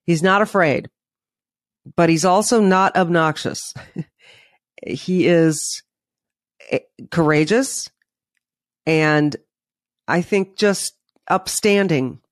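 A woman hosting a podcast interview, chatty and warm in manner, restarting her questions as she goes. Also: fairly low pitch, extremely slow, long pauses, no echo, clean studio sound. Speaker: podcast host.

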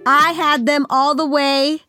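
A woman's voice: sits high, level tone, takes it slowly, bright, forceful tone, soft background music. A woman in her thirties co-hosting a sports podcast, upbeat and chatty, slightly nasal from a cold. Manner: upbeat, chatty, laughs easily. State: has a cold. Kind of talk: casual sports chat.

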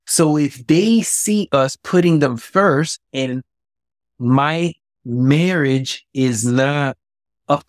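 A man in his thirties from Miami speaks as an interview guest, his voice mid-range and recorded close with a dry sound. He talks candidly and plainly, building his points from personal examples. The tone is relaxed and conversational. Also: medium pitch, no room sound. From Miami, USA. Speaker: guest, businessman and ex-boxer.

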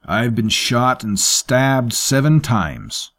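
A man's voice: mid-range voice, very animated, slow pace, fairly bright tone, pitched above the performer's own voice, heavily acted. A man doing a brash, battle-scarred mercenary. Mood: boastful. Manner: brash, boastful. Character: boastful, battle-scarred male mercenary, gruff.

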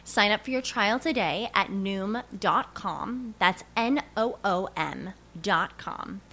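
Mid-pitched woman reading an advert. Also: animated, slow pace, pushed and bright. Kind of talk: scripted ad read.

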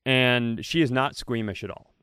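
A man's voice: mid-range voice, normal speed, fairly bright tone, smooth, dry recording, clean studio sound. A man co-hosting a comedy podcast, riffing and telling stories in a casual, playful way. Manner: riffing, storytelling, playful bits. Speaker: podcast co-host.

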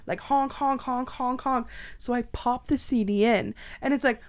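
Canadian woman telling stories in an animated way. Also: a little high, moderate pace. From southwestern Ontario, Canada. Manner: animated, acts out quotes and sounds. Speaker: podcast co-host. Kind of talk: casual chat, anecdotal storytelling.

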